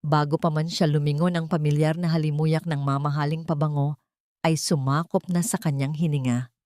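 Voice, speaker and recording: Low-pitched woman narrating a dramatic story. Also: fairly even intonation, moderate pace, darkish tone, no echo, studio quality.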